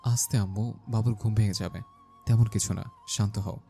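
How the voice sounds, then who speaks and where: A man's voice: fairly low pitch, fairly even intonation, moderate pace, mellow, faint music behind, lightly acted. A man playing a bossy but caring young husband in an audio story, with a firm manner. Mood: hushed, awkward. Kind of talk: Bengali audio story acting.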